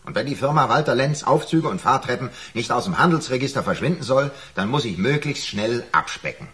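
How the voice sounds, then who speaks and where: A man's voice: medium pitch, lively intonation, brisk, a bit bright, natural voice. An actor playing a blunt, worried male boss of a small firm in a German radio crime drama. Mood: resigned.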